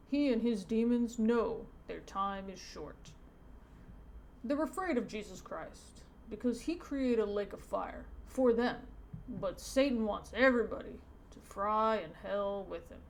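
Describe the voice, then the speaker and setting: A woman's voice: on the high side, animated, measured pace, gravelly. A woman voicing a solemn, instructive male pastor as she reads a comic aloud. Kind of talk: reading comic dialogue aloud. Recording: noticeable background noise.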